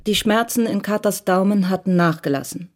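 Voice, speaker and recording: A woman narrating a radio crime drama in a measured, even storytelling voice. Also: medium pitch.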